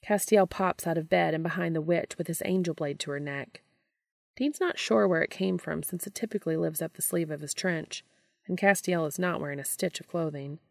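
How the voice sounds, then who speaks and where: A woman reading fan fiction aloud in expressive storytelling narration. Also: lowish voice, fairly quick, gravelly, no echo, clean studio sound.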